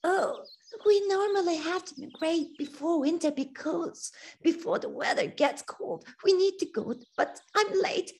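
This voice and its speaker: A woman's voice: extremely high pitch, a little slow, a little mellow, pitched above the performer's own voice. A woman voicing an earnest male swallow in a children's storybook tale, urgent and exclamatory, in non-native English. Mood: plaintive. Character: earnest male swallow, injured, grateful, urging friend.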